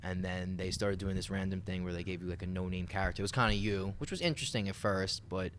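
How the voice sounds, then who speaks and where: A man's voice: deep voice, steady tone, fast, a bit bright, a little hiss or hum. A man co-hosting a podcast, chatting casually and informally.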